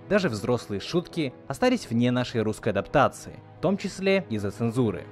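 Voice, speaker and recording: A man's voice: medium pitch, darkish tone. A man hosting a video series, reading a scripted video essay. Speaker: host of a video series on film dubbing.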